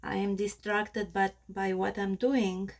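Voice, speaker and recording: A woman with a strong Romanian accent, Romanian her first language, walking viewers through a craft project in halting speech with many pauses and fillers. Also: mid-range voice, level tone. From Australia. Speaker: craft tutorial host. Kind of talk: crafting tutorial narration.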